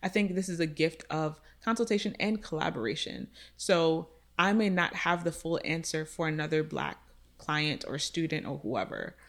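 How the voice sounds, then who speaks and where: A woman who works as a counselor, talking earnestly and reflectively in a casual podcast discussion, often checking in with "right?". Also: on the low side. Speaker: counselor specializing in racial identity.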